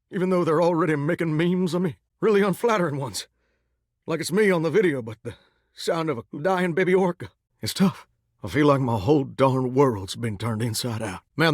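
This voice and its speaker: An actor voicing a lovesick talking shower in a game, with a drawl and a folksy, earnest way of talking. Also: male voice, on the high side, dry recording, studio quality. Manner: folksy, earnest and sweetly corny. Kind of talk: video game character dialogue.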